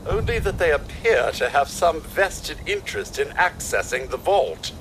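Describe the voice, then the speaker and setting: An actor voicing a polite, fussy robot butler in a video game, speaking in a formal, genteel, wordy manner with kindly worry. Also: male voice, fairly high pitch, sing-song, moderate pace, fairly bright tone, a little hiss or hum, low-quality recording. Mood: genteel, measured. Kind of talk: scripted video game dialogue.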